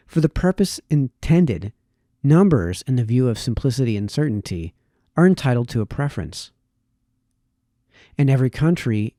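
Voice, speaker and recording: Male reader for formal essays: soft-edged, mellow tone, deliberate phrasing, close dry recording. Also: mid-range voice, no room sound. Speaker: reader, host of a reading series. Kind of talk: reading aloud formal historical essays.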